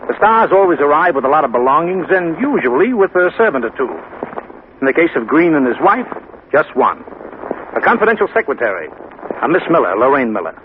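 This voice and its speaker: An earnest male federal agent explains things in a businesslike way, his pitch moving freely. It is 1950s radio drama acting, heard through a thin, tinny recording with clear noise. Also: big pitch swings, a little fast. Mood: earnest, explaining.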